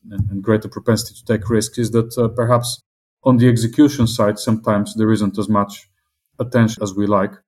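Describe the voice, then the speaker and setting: Measured optimistic businessman with foreign accent. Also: on the low side, darkish tone. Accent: non-British English accent. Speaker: investment company executive. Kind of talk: business interview answers.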